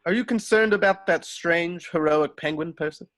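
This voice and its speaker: A man's voice: a little high, normal speed, fairly bright tone, natural voice. A man playing an old, caring butler at a script read, formal and solicitous. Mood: concerned. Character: Alfred, a fussing, caring old male butler. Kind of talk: table read of a film script.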